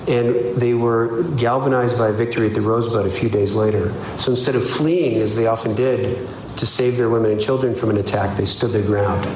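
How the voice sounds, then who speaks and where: A middle-aged man, an author, reading a passage and then answering questions in a measured, thoughtful way. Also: mid-range voice, reverberant, noisy background. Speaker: author discussing his biography.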